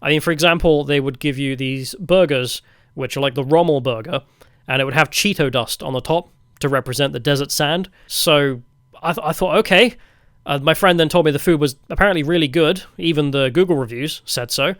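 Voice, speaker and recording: A man telling travel stories as a vlogger in a casual, wry, deadpan way. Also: on the high side, brisk, clear, resonant tone, no room sound, studio quality. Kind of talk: casual storytelling narration.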